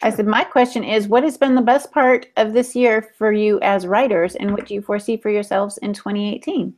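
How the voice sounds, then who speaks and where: Mid-pitched woman co-hosting a chat. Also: fairly even intonation, brisk, a little mellow. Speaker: podcast co-host and author. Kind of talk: casual podcast discussion.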